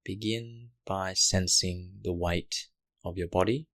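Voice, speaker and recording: Very deep, slow male meditation guide. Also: fairly even intonation, dry recording. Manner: gentle, unhurried, short phrases with long pauses.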